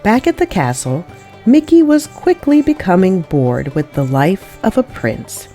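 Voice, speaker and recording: Female children's storybook reader: low register, very varied intonation, faint music underneath. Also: big pitch swings, measured pace, warm tone. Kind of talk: expressive children's read-aloud narration.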